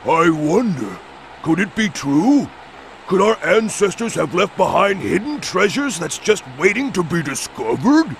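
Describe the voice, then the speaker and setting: An actor playing a burly male albatross, the loud, bumbling muscle of a gang. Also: high-pitched, very animated, a little slow, a little hiss or hum, heavily acted. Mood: blustering.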